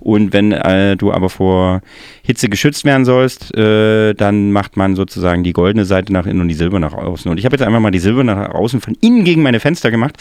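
A man's voice: fairly low pitch, fairly quick, a little mellow, dry recording, studio quality. A man hosting a music show in casual, rambling, joking chat. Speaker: radio music show host. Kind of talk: casual chatty radio moderation.

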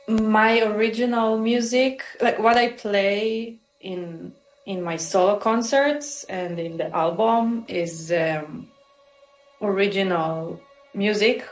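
A woman's voice: medium pitch, slow. A woman, answering interview questions thoughtfully and searching for her words. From Israel. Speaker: musician guest. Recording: roomy with faint music.

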